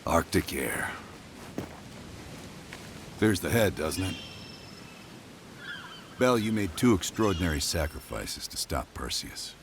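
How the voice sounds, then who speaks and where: A man playing a ruthless middle-aged American intelligence officer in a game, speaking deliberately, with intimacy and command. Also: sits low, sing-song, background noise, full character voice. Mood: grave, persuasive. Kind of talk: dramatic game cutscene acting. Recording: music behind the voice.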